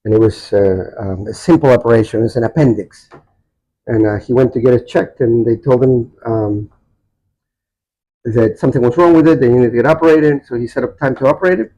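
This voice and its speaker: A man in his sixties from Chile, a Spanish speaker, telling personal stories haltingly as he searches for words. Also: mid-range voice, mellow, rough recording. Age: 60s. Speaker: podcast guest. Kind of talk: personal storytelling in a casual interview.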